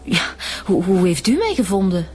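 A woman's voice: mid-range voice, big pitch swings, moderate pace, over music, close to an everyday voice. A woman playing a fussy, affected, guarded singing teacher in a radio drama. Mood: guarded, evasive. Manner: affected, haughty, evasive.

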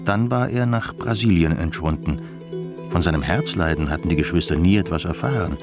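A man's voice: deep voice, expressive, brisk. A man narrating a scripted radio play as a wry, literary storyteller. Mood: wry narration. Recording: music behind the voice.

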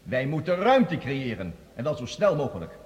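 A man's voice: mid-range voice, sing-song, normal speed, a little mellow, highs cut off, natural voice. A man acting in a radio drama as an eloquent, self-assured scientist who addresses a world council in a theatrical, pompous way. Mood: proclaiming. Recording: hall-like reverberant radio drama recording.

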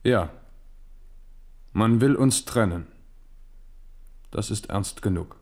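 Low-voiced, measured French king. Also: darkish tone. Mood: dry, ironic. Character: weary, shrewd French king Henri, conciliatory but firm. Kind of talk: German radio play acting.

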